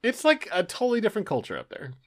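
Californian man with a very lively pitch. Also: very fast. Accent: Californian American. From Southern California, USA. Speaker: podcast co-host. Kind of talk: casual podcast chat.

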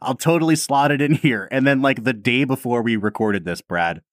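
A man's voice: mid-range voice, moderate pace, no echo, studio quality. A man co-hosting a podcast in casual chat, talkative and self-deprecating, often breaking into laughs. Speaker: podcast co-host.

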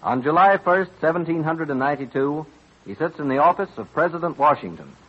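A man's voice: fairly high pitch, animated, fairly quick, breathy edge. A man giving formal, declamatory scripted narration for a historical radio drama in a 1940s style. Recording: dull vintage radio recording with music.

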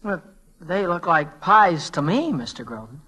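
Teenage boy messenger: polite, earnest, halting delivery, slightly high voice, vintage radio acting. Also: sing-song, bright, forceful tone, breathy edge, full character voice. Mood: earnest, deferential. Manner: hesitant, stammering, deferential. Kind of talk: 1940s-50s radio drama acting.

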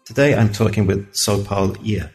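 A man hosting an interview podcast, giving prepared introductions and questions in a measured way. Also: on the low side, darkish tone, faint music behind. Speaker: podcast host, research fellow.

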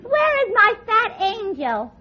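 A sassy deadpan girl. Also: extremely high pitch, slow, heavily acted. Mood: tart. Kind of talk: 1940s radio comedy acting. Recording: dull old radio broadcast, noisy.

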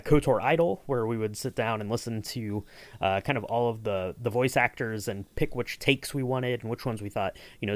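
Male podcast host, lowish pitch, loose rambling delivery, close dry sound. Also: no echo. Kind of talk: casual behind-the-scenes talk.

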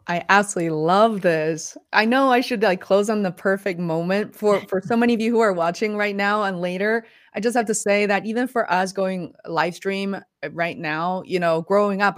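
A woman's voice: medium pitch, no room sound, studio quality. A woman hosting a livestream interview over a video call, chatty and enthusiastic, with many fillers. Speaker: livestream host. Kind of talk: casual interview.

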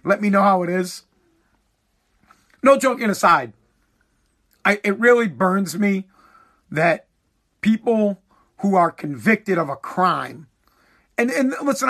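A man's voice: sits high, a bit bright. A man hosting his own podcast, ranting bluntly and heatedly with swearing while he is losing his voice. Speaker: podcast host.

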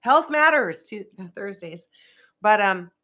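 A woman's voice: medium pitch, expressive, a bit bright. A woman from Northern California hosting a casual chat show, a little congested and losing her voice, talking in a rambling, chatty way. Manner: rambling, chatty, quick asides. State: congested from a sinus rinse, losing voice. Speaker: host.

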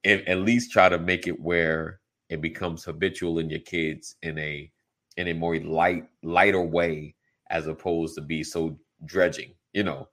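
A man's voice: low-pitched, level tone, a little slow, a bit bright. A middle-aged man co-hosting a talk show, chatting casually, laughing often and agreeing with "right". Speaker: podcast co-host. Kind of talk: casual chat.

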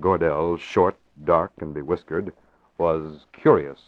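A man narrating a radio drama in a steady, formal storytelling manner. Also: extremely low pitch, slow pace, no echo. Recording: dull old radio recording with faint music.

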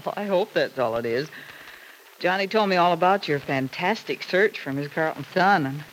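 Actress as lively middle-aged radio soap mother. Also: lowish voice, normal speed, close to an everyday voice, low-quality recording. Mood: concerned. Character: caring, frank middle-aged mother, graying and gently worrying. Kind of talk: old-time radio soap opera acting. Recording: hissy old radio recording.

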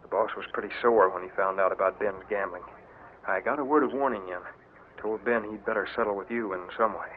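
A jumpy young clerk played by a man stammers in a fairly low voice. The sound is a thin, narrow old radio recording with faint hiss behind it. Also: a little fast, lightly acted. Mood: nervous. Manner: hesitant, stammering. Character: nervous young male office clerk, jumpy accomplice. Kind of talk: 1940s radio acting.

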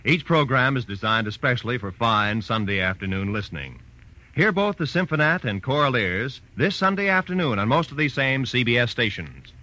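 A man's voice: medium pitch, sing-song, moderate pace, sharp tone, rough recording. A man with an American accent announcing in a polished, formal, emphatic 1940s network radio style.

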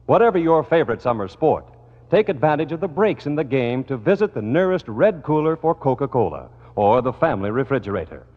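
A man's voice: medium pitch, very animated, fairly quick. A man reading scripted copy as a classic radio announcer and host, with a polished, formal delivery. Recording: old radio broadcast, muffled, long reverb.

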